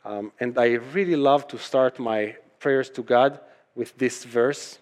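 A man's voice: medium pitch, unhurried, darkish tone. A male pastor whose native language is Hebrew delivers a sermon in a mid-range voice. He talks to his congregation conversationally, leaning on rhetorical questions and restating key phrases with emphasis. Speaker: pastor preaching to his church.

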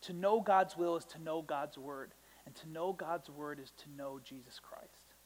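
A young man with a naturally high voice preaching a sermon. He talks conversationally, teases himself and puts asides to the congregation. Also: unhurried. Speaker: pastor. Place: church sanctuary. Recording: long hall reverb.

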